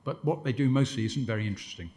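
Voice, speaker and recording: A man's voice: medium pitch, fast talker, darkish tone. A man answering questions after his lecture, discursive, with stumbling restarts and dry wit. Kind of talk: conference Q&A answers.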